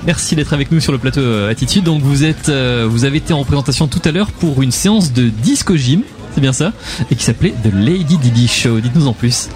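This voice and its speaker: A male radio co-host interviews a guest at a festival. he talks quickly and keeps summing things up for the listeners, leaning on the same filler word over and over. faint music plays behind him. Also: medium pitch, expressive, a little mellow. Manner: repeats "effectivement", summarizes for listeners.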